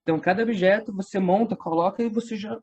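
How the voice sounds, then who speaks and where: Brazilian man, interview guest, slightly high-pitched, casual conversational delivery, dull lo-fi sound. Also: fast talker, darkish tone, husky. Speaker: theatre company member, interview guest. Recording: dull lo-fi audio.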